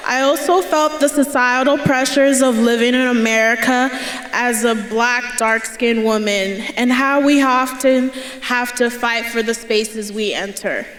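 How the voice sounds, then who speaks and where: A woman's voice: a little high, fairly bright tone. Young woman speaking in an echoey hall. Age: young adult. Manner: earnest, laughs between lines, raises voice to cheer the crowd. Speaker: student commencement speaker. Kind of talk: prepared commencement speech. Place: large indoor ceremony hall. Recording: echoey hall with crowd ambience.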